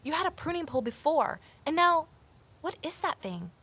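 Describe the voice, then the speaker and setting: Casting: amateur sleuth woman, slightly high voice, pointed, questioning, expressive audio drama delivery. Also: normal speed, full character voice. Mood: startled, accusing. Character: Evelyn, sharp, inquisitive amateur sleuth woman. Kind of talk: full-cast audio drama acting, narration and dialogue.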